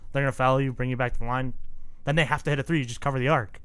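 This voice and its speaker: A man's voice: mid-range voice, steady tone, very fast, fairly bright tone, smooth, dry recording. A young man co-hosting a sports podcast, rambling in casual chat and laughing often. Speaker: podcast co-host.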